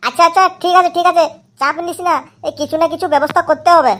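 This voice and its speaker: A hard-drinking village father , played by a woman, speaks in a very high cartoon voice. He whines and argues back stubbornly, repeating himself. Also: steady tone, fast, a bit bright. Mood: whining, evasive. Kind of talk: comedy cartoon dialogue.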